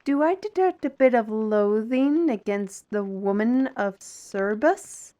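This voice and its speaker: A woman acting as a wry fantasy adventurer in an audio play. The voice is high and takes its time, dryly teasing, with the exasperation of a long-suffering friend. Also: lively intonation, takes it slowly, warm tone. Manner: dry, teasing.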